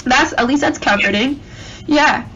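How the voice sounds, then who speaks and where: A woman's voice: sits high, measured pace, a bit bright. A woman casually asking questions on a private call, prodding and agreeable, often echoing the answers. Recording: muffled, noisy leaked phone recording.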